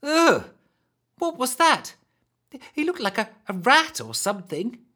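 A man's voice: very high voice, huge pitch swings, takes it slowly, sharp tone, voice pushed up, heavily acted. A man voicing a nervous young woman adventurer who stammers and stutters, in a storybook reading. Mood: startled.

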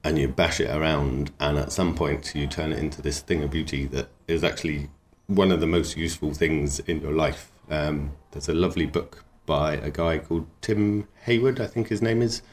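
A man with a very deep voice talks in a relaxed, rambling way, clearly enthusiastic about his work. A faint hiss of noise sits under the recording. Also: moderate pace. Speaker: knifemaker and cook. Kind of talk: relaxed interview talk.

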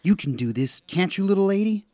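A man's voice: high-pitched, expressive, fairly quick, warm tone, no echo. A man playing a poor, worried father in a radio play, coaxing and earnest.

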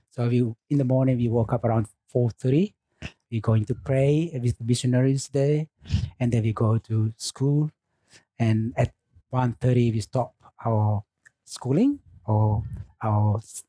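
A middle-aged man from Indonesia, speaking English as a later language, answering interview questions as a teacher in a thoughtful, unhurried way. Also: mid-range voice, dark tone, slightly rough, no echo, poor audio. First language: Lamaholot. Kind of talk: relaxed radio interview. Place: studio.

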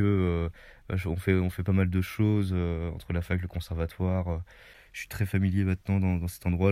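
Young man, hesitant reflective chat, warm low tone, dry sound. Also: no room sound. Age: young adult. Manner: hesitant, many fillers and restarts. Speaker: student interviewee. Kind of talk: casual reflective talk.

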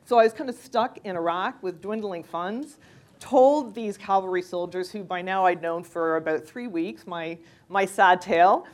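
A woman, a freelance journalist giving a measured, anecdotal personal account during a panel discussion. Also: on the low side. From Canada.

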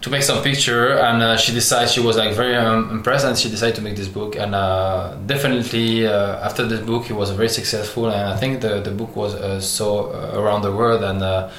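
A man's voice: fairly low pitch, steady tone, fairly bright tone. Hesitant man from Algeria, raised in France. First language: French. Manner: many pauses and restarts, searching for English words. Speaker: artist guest. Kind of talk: halting interview answers. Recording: echoey room with faint noise.

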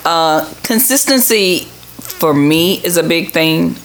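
A woman's voice: on the low side, lively intonation, slow. A middle-aged woman, an official being interviewed, giving conversational answers in a plain-spoken, explanatory way. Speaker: government official, interview guest.

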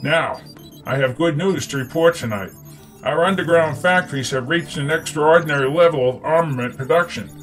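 A man's voice: fairly high pitch, normal speed, a bit bright, faint music behind, close to an everyday voice, rough recording. A man voicing a commanding, suspicious rebel leader in an audiobook, with faint hum behind him. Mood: formal, commanding.

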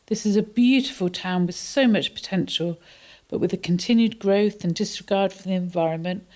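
A woman playing a concerned townswoman in a community radio play, with an earnest, deliberate delivery. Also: on the low side, dark tone, lightly acted. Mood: saddened. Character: concerned townswoman sewing a river tapestry.

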